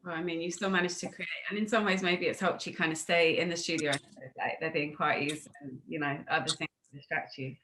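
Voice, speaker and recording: Bright-voiced woman interviewing, lowish pitch. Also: steady tone, a little fast, hardly any pauses, slight background noise. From United Kingdom. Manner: chatty, rambling questions peppered with "like" and "you know". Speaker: music interviewer. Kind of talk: casual interview chat. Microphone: video call.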